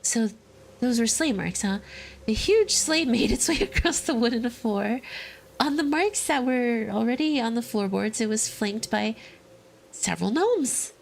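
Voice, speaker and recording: A woman's voice: on the high side, expressive, unhurried, creaky, slight background noise. A woman reading a game's story aloud as a streamer, breaking off to joke and laugh between passages. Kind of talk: dramatic reading with commentary.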